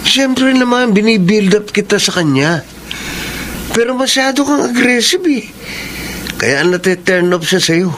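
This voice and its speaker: Higher-voiced frank young Filipino buddy. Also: male voice, lively intonation, a little slow, pauses often, a bit bright. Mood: teasing. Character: frank, teasing young best friend and confidant. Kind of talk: Filipino radio drama acting. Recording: faint background noise.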